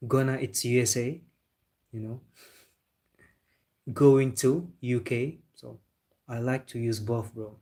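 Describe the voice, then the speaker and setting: A man's voice: mid-range voice, steady tone, slow, long pauses, mellow. Chatty young man streaming live. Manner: loose and chatty, calls viewers bro, talks with a laugh. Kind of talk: casual live stream chat, reading viewer comments.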